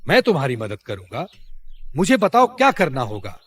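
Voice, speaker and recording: A man's voice: fairly high pitch, wildly swooping pitch, moderate pace, a bit bright, breathy edge, heavily acted. A man voicing a helpful, sensible male passer-by, concerned and eager, in a children's story.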